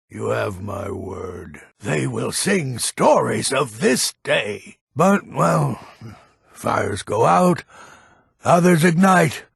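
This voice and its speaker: Gravelly, grumpy old fantasy man. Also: medium pitch, a bit bright, no room sound, studio quality, heavily acted. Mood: solemn. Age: older. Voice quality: gravelly, raspy. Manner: gruff, theatrical, emphatic. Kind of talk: fantasy video game character acting.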